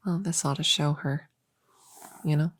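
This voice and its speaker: A woman playing an eager, slightly grumpy young novice witch in a soft-spoken ASMR roleplay, chatting casually and hesitantly to a friend in a breathy voice that often drops to a whisper. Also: deep voice, fairly even intonation, unhurried. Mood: smug, determined. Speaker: audio roleplay performer. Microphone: close microphone in a dry space.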